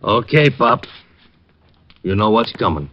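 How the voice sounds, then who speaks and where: Casting: surly slang-talking crook, vintage radio crime drama, low pitch, dull audio with some hiss. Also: male voice, very animated, unhurried. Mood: sneering. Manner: tough, slangy, curt. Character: menacing, surly male street thug. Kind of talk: 1940s radio acting. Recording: muffled, noisy vintage radio recording.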